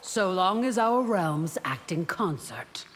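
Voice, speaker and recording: A fierce, loyal bodyguard from a fighting game. Her voice sits a little low and moves widely as she delivers formal, defiant lines. It rings in a long reverb with faint noise behind. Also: lively intonation, takes it slowly. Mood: proud, composed. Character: fierce, devout, loyal female warrior bodyguard. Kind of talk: video game fight intro dialogue. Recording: reverberant hall, noisy game backdrop.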